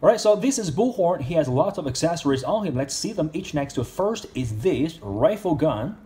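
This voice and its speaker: A man's voice: fairly high pitch, big pitch swings, a little fast, mellow. A man reviewing an action figure in casual speech, with simple, non-native English phrasing. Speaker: toy reviewer.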